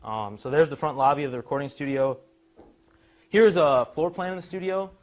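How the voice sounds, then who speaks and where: A man's voice: fairly high pitch, brisk. A young man from the Chicago suburbs giving an informal, conversational student presentation, explaining technical material. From Chicago suburbs, USA. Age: young adult. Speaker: university student presenter, musician and drummer.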